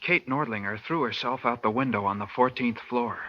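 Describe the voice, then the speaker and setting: A man's voice: medium pitch, fairly quick, heavy background noise, lightly acted. An actor playing a nervous, evasive male hotel clerk in vintage radio drama, recorded with muffled old sound. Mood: confidential. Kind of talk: 1940s radio acting.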